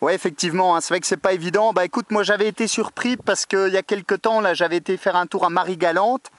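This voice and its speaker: A man's voice: sits high, fairly even intonation, fast talker, fairly bright tone, no echo. A man chatting casually as an amateur radio operator, repeating himself and tagging his phrases. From metropolitan France. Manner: repetitive, punctuates phrases with tag words. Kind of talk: casual radio contact chat. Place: beside his own radio station, filming it.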